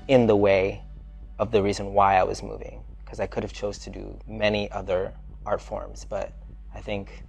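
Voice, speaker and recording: A young man from the Bronx, a dancer speaking in a measured, thoughtful way about his art. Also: on the low side, vocal fry, darkish tone, slightly rough. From Bronx, New York. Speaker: dancer and performer. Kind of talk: reflective interview talk.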